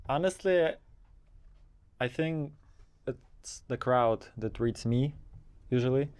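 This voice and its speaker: Man, very crisp resonant voice, halting phrasing, casual interview answers. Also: mid-range voice, a little mellow. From Kazakhstan. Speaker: interviewed DJ and techno producer.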